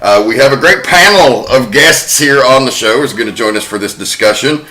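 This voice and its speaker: Male podcast host, bright crisp tone, chatty, casually profane. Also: mid-range voice, animated, normal speed, low-quality recording.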